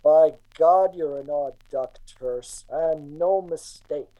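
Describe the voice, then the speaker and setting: A man's voice: on the high side, slow pace, mellow, rough recording. An actor playing a suspicious, scolding male head of a rural household in a period radio drama. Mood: amused disdain.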